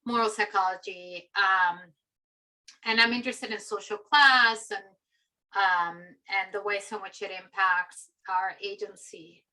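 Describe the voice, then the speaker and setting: A woman's voice: medium pitch, fairly even intonation, measured pace, sharp tone, rough recording. A middle-aged woman from Peru, a philosophy professor, chatting reflectively about her path with many fillers. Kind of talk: conversational personal story.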